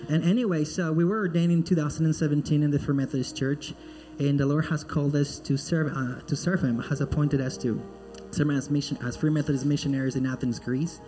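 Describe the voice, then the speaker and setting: A male missionary speaks to a church congregation, friendly and earnest. His voice is mellow and sits a little high, with faint music underneath. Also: steady tone, brisk. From Peru. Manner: friendly and joking, then earnest in prayer. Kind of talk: church talk and prayer. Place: church sanctuary.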